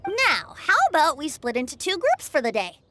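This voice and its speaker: Bright, high, playful boy bard voiced by a woman. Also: huge pitch swings, moderate pace, exaggerated voice. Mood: friendly, explaining. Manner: lilting, teasing, flowery phrasing. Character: playful, breezy young male bard and festival host. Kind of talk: scripted game dialogue.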